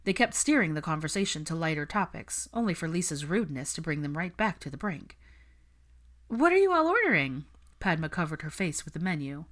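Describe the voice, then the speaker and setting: A woman's voice: low-pitched, fast, few pauses. A woman reading a story aloud as a scripted narrator. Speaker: fan fiction narrator.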